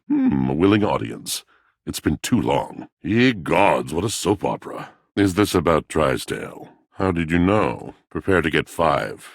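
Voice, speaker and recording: A melodramatic, lovelorn male washing machine from a video game with an extremely low, gravel-edged voice. He is brooding and courtly, delivering florid poetic lines with big, theatrical swoops in pitch. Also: no room sound, studio quality, full character voice. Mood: melancholy, grandiose. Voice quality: gravelly, husky. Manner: florid, grandiose, poetic diction.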